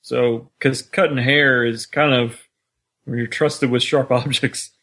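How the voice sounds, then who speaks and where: Male podcast co-host, mid-pitched voice, casual fan-discussion delivery. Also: fairly even intonation, takes it slowly, sharp tone. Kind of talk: casual discussion of an audio drama.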